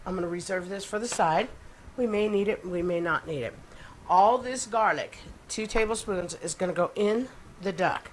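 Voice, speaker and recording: A middle-aged woman with a stuffed-up, nasal voice, chatting excitedly through a cooking demonstration in her home kitchen. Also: fairly low pitch, a little hiss or hum. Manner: chatty and excitable, with many ums and asides.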